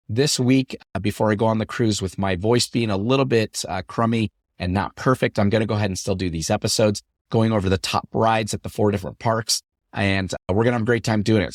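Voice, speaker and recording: A middle-aged man hosting his podcast in an upbeat, chatty way, his voice deepened by a lingering cough after an illness. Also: no echo, clean studio sound. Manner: upbeat, chatty, repetitive phrasing. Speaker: podcast host. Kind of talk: solo podcast talk.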